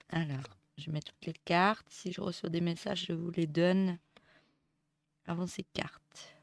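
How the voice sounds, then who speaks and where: A woman with a cold and a hoarse voice, giving a casual tarot reading with many hesitations and restarts. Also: on the low side, steady tone, takes it slowly, long pauses. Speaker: tarot reader. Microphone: close mic. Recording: dry close mic.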